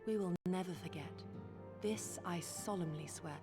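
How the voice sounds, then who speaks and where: A grave, dignified warrior lady in a fantasy video game. Her voice sits on the low side and her phrasing is formal, archaic and measured. A reverberant space surrounds it, with music faintly behind. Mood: solemn gratitude. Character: solemn, dignified warrior lady from a far eastern land.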